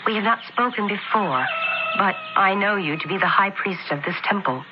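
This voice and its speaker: A woman's voice: medium pitch, expressive, normal speed, natural voice. Woman as bold, pert Roman noblewoman. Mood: teasing. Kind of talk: radio drama acting. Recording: muffled, very noisy radio broadcast.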